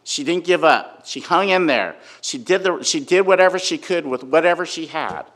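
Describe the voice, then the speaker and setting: A man delivers an informal sermon, his voice sitting a little high for a man. He talks loudly and conversationally, full of fillers, and keeps checking in with his listeners with "right?". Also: normal speed. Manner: conversational, filler-heavy, asks "right?" often; says he has a really loud voice. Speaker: preacher. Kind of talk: informal sermon with personal story.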